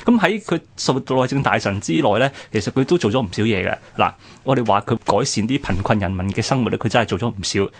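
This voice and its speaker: Quick hong kong cantonese guy telling history on radio, explains stuff step by step. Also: lowish voice, lively intonation, slight background noise. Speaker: radio history programme host. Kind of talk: conversational historical storytelling.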